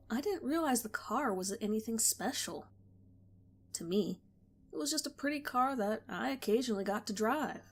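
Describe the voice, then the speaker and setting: Calm woman narrating a spooky tale. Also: medium pitch. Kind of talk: scripted narration of a horror story.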